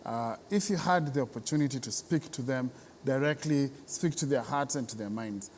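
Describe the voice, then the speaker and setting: A man's voice: mid-range voice, normal speed, a little mellow. A man with a Kenyan accent hosting an unscripted TV interview, encouraging his guest and repeating himself as he searches for words. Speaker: interview host. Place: large reverberant theatre building. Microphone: speaking on camera. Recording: echoey hall, background noise.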